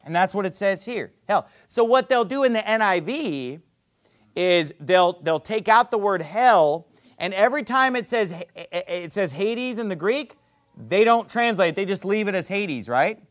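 A man preaching a sermon as pastor in a church, emphatic, with loud peaks, low drops and sarcastic asides. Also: lively intonation, measured pace.

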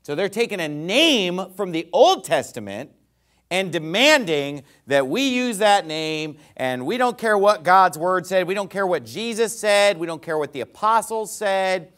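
Man, preacher. Emphatic sermon delivery, rising and falling pitch, slightly higher voice. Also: big pitch swings, measured pace, a bit bright. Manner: emphatic preaching with rhetorical questions. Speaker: pastor.

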